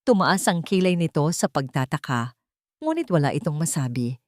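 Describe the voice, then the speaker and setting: Female Filipino storyteller, low voice, dry close recording, dramatic scripted romance read. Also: big pitch swings, moderate pace, darkish tone, dry recording. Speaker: story narrator.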